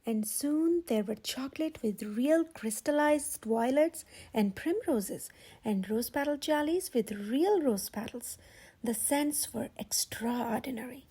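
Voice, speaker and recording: A woman reading a children's story aloud as a storytime host, expressive, with dramatic emphasis and a breathy voice. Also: sits high. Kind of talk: animated children's read-aloud narration.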